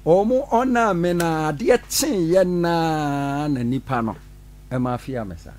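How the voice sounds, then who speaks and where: A middle-aged man, a pastor from Ghana, telling his side in an animated radio studio interview. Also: fairly high pitch, slow pace. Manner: animated, gestures while talking, laughs often. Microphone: studio mic on boom arm.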